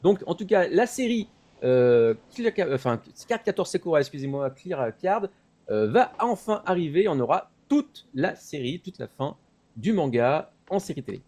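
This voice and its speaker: A man with a fairly high, very lively voice presents news in a casual show. He explains things in a loose, digressive way, as a regular commentator would. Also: normal speed. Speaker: commentator on a web talk show about anime.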